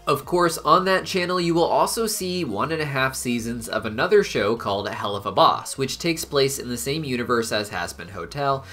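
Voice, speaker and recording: A man delivers scripted video essay narration in a medium-pitched voice. His intonation is very lively, rising and falling widely through long explanatory sentences. He sounds like the host of an explainer channel.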